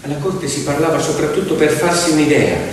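A man's voice: fairly high pitch, fairly quick, far from the mic, slight background noise. A man telling a story theatrically as a stage actor, in an emphatic, declamatory manner with rhythmic repetition. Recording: echoey hall from afar, loud music. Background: loud piano music.

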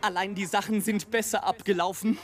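A high male voice over music: a sardonic traveler narrating his airship voyage in a radio play. The delivery is declamatory, ironic and theatrical. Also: fairly even intonation, fairly quick, a bit bright. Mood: mocking, ironic. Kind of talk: literary radio play monologue.

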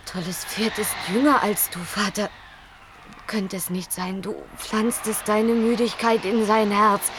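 A woman's voice: mid-range voice, steady tone, a little slow, lightly acted. An actress in a German radio play, playing a determined young Native girl, an earnest, persuasive daughter pleading her case. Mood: earnest, coaxing. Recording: noisy old radio recording.

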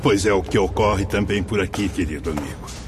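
An actor playing a genial priest who directs a spirit-world watchtower in a radio drama, courteous and explanatory, with a Brazilian accent. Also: male voice, low voice, fairly quick, lightly acted. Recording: music behind the voice.

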